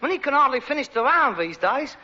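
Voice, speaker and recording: A man's voice: very high voice, very animated, quick pace, bright, forceful tone, full character voice. A man playing an exasperated, pretentious Cockney rag-and-bone man, a put-upon grown son in a sitcom, whining and indignant. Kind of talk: British sitcom acting. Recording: dull, noisy old sitcom recording.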